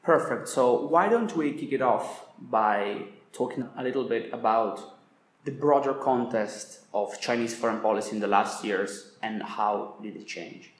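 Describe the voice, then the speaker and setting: A young man hosting a podcast, reading a formal introduction and asking questions, with a gravelly voice. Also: mid-range voice, a little slow. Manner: formal, read-aloud delivery. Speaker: podcast host. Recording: echoey room, faint hiss.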